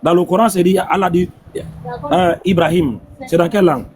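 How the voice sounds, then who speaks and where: Insistent high-voiced man debating. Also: a little fast, a crowd in the background. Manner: insistent, repetitive questioning. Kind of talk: heated religious debate.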